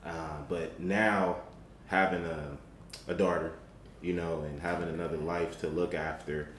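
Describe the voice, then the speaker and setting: A man with a very deep voice talks in measured, motivational tones, often pausing on an um. The room is echoey. A faint hiss runs underneath. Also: little pitch movement, fairly bright tone. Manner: measured, with frequent ums and a motivational tone. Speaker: podcast guest. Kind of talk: casual conversation.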